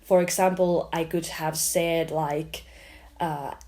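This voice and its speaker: A woman talking about her stutter, halting with blocks and "uh" pauses. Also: low voice, steady tone, a little mellow. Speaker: community member sharing experience. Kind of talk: personal testimony to camera.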